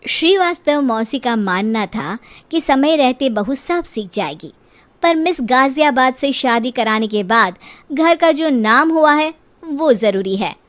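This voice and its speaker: A woman narrator reading a scripted comic story for an animated video. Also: high voice, a little slow.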